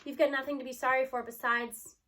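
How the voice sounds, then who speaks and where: A woman's voice: sits high, flat delivery, brisk, fairly bright tone, a little hiss or hum. A woman voicing a veteran male schoolteacher in a storybook, firm and devoted to the pupils. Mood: reassuring. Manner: firm, declarative delivery.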